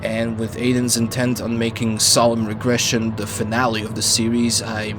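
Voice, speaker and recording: A man's voice: fairly low pitch, completely flat delivery, normal speed. Casual, wry man narrating over music. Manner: informal, wry, with swearing and joking asides. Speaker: video essay narrator and channel owner. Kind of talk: casual, opinionated video essay commentary. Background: background music under the voice-over.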